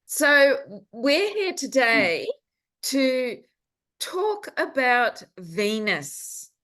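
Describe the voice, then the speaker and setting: A woman hosting a casual chat about astrology, speaking gently and with enthusiasm. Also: mid-range voice. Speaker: host and astrologer. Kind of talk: casual conversation over video. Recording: roomy echo.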